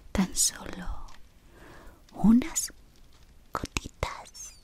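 Young woman doing a loyal dark-wizard servant, super slow breathy whisper, lilting and a bit high, all fawning. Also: heavily acted. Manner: softly whispering close to the mic, deferential and fawning. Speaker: ASMR roleplay creator. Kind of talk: whispered ASMR roleplay. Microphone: close sensitive mic at face level.

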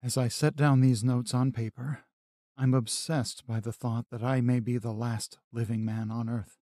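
Radio drama lead: brooding lonely survivor, a male scholar played by a man, deliberate journal narration, close dry sound. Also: medium pitch, dark tone, no echo, close to an everyday voice. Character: haunted male astronomy professor, lonely survivor of invasion.

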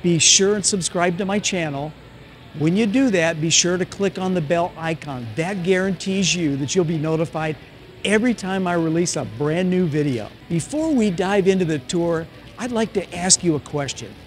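An older man, a barber, giving an upbeat direct-to-camera sales pitch in a shopping mall, asking questions and answering them himself. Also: normal speed, soft background music. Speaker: barber and franchise owner. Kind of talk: direct-to-camera promotional vlog. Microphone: camera on tripod at mid distance.

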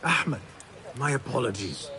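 An actor playing an earnest young assassin apprentice in a video game, respectful and formal. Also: male voice, medium pitch, animated, measured pace, pushed and bright. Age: young adult. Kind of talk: dramatic video game acting.

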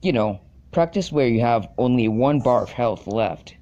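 A man's voice: medium pitch, expressive, moderate pace, dark tone, lo-fi sound, natural voice. A man voicing a brash young male action hero in an amateur cartoon. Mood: cocky, bantering. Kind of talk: amateur animated cartoon voice acting.

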